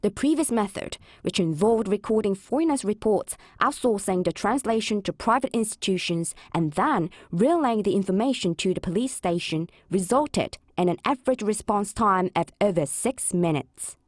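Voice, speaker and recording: A woman reporter reading a scripted news report in a measured, even delivery. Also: medium pitch, no echo, studio quality.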